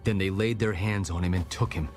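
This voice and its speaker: A man narrating a dramatized audio Bible in a solemn, measured storytelling style. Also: low voice, steady tone. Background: music.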